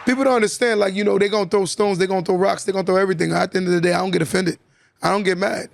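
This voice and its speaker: Gravelly, fast-talking male podcast host. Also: high voice, fairly even intonation. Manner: emphatic, lecturing, repeats key lines. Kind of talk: casual podcast talk, motivational monologue.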